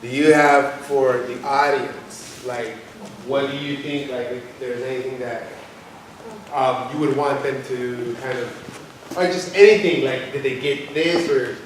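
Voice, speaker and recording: A man's voice: mid-range voice, fairly even intonation, measured pace. A man moderating an informal audience Q&A with loose, rambling phrasing. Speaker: discussion moderator. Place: large echoey indoor arts venue. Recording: very echoey hall, distant mic, noisy.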